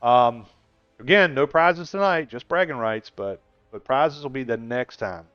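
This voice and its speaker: A man's voice: mid-range voice, unhurried, clean tone, dry recording, faint music behind. A man in his sixties chatting casually on a gaming live stream, rambling and laughing often as he answers viewers. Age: 60s. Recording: dull lo-fi stream audio.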